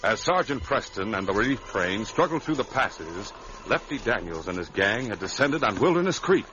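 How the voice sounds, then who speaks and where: A man's voice: on the low side, big pitch swings, normal speed, sharp tone, faint music behind. A man announcing and narrating an old-time radio show with a dramatic, emphatic delivery. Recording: muffled vintage radio recording.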